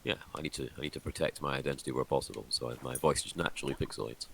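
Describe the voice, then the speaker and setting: A man co-hosting a game stream over a voice call, joking in a dry, deadpan way. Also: a bass voice, racing, creaky, a little hiss or hum. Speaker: stream co-host. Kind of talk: casual chat.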